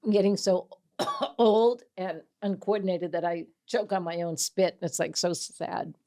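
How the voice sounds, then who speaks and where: Woman, seventies, lowish voice, chatty host, animated storyteller, laughs often. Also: measured pace. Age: 70s. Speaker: host, mental health coach. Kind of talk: casual interview chat.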